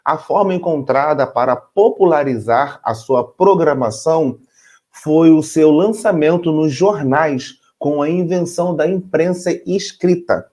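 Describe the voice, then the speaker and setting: A man's voice: fairly high pitch, unhurried, warm tone. A Brazilian man co-hosting a casual chat, playful and jokey, reading facts and adding his own sound effects. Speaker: co-host.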